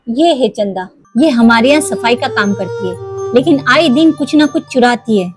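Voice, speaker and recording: Imperious household madam for a cartoon, played by a woman; high-pitched, quick to blame. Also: lively intonation, music in the background, close to an everyday voice. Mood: accusing, indignant. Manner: imperious, insistent. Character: bossy, accusing wealthy lady of the house.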